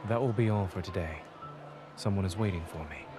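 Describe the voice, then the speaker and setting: An actor playing a calm, authoritative doctor in a video game, speaking in a steady, measured way. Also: male voice, deep voice, over music, natural voice. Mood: calm, gently teasing. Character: calm authoritative male doctor, brilliant surgeon and lecturer. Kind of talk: scripted game voice acting.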